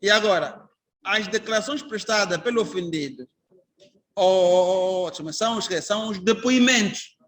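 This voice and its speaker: Angolan man, high-pitched judge-trainer, conversational lecture full of rhetorical questions. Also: moderate pace, fairly bright tone. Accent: Angolan Portuguese. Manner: conversational, anecdotal, asks the class rhetorical questions. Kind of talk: informal lecture to trainee magistrates.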